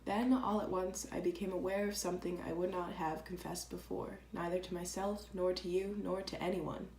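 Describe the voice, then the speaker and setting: A woman playing an earnest, proud and candid letter writer in a dramatized reading, speaking reflectively and deliberately. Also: lowish voice, flat delivery, a little mellow, close to an everyday voice. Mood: confessional. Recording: echoey room with clear background noise.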